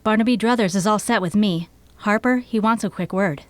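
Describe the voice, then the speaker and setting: A woman's voice: mid-range voice, brisk, no echo, lightly acted. A woman plays a firm program director in an audio play. She sounds official and matter-of-fact, her mid-range voice recorded dry and close. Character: firm, businesslike female radio program director. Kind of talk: audio theater acting.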